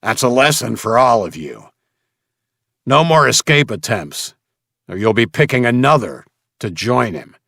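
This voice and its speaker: A man's voice: medium pitch, sing-song, measured pace, gravelly, long pauses, a bit bright, no room sound, studio quality, pitched above the performer's own voice, full character voice. Man voicing a menacing, barking slaver gang boss. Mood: cold menace. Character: brutal, short-tempered male leader of a slaver gang. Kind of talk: audiobook character acting.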